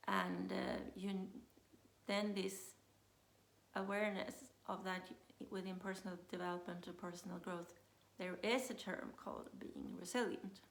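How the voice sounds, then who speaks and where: A woman coach with a Swedish accent, speaking English as her second language, talking to camera in a relaxed, unhurried way with many pauses and restarts. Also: medium pitch, creaky, echoey room. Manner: unhurried, thinks aloud with many uh fillers and restarts. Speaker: self-leadership coach. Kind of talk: casual talk to camera.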